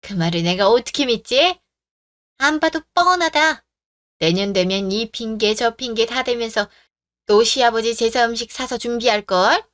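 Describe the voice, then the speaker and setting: A woman's voice: on the high side, moderate pace, lightly acted. A woman voicing a stubborn, old-fashioned older mother-in-law who talks in an indignant, insistent way for a radio drama. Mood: scornful. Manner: indignant, insistent, sharp-tongued.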